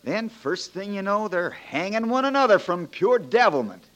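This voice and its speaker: A young country lawyer from the frontier in a 1940s radio drama. His voice sits a little high for a man. He talks in plain, homespun phrases, wry and calm, with firm resolve underneath. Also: moderate pace. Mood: earnest, persuasive. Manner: folksy, plain-spoken, joking, homespun turns of phrase.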